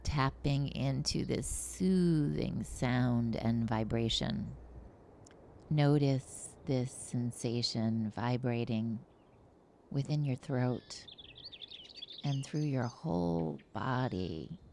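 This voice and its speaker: Very low, slow-paced woman guiding meditation. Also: a little hiss or hum. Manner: soothing, gentle, breathy hushed delivery. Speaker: meditation teacher. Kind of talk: guided relaxation meditation.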